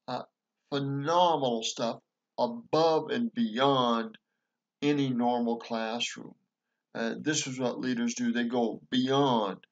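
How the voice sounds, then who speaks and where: A man's voice: mid-range voice, dull audio. A man from Montana giving casual, earnest commentary on a film, rambling with many fillers and restarts. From Montana, USA. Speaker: vlogger reviewing a film.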